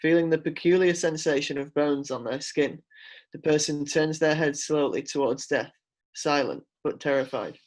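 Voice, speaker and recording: A man's voice: fairly high pitch, fairly even intonation, normal speed. A man narrating scene descriptions from a script in a dramatic reading. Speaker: script reader. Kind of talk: scripted narration, screenplay read-through.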